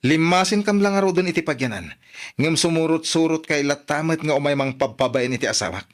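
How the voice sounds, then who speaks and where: An actor playing an exasperated grown son who remonstrates earnestly, with an Ilocano accent, in a radio drama. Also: male voice, fairly high pitch, brisk, natural voice. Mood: protesting. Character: exasperated grown son protesting to his mother.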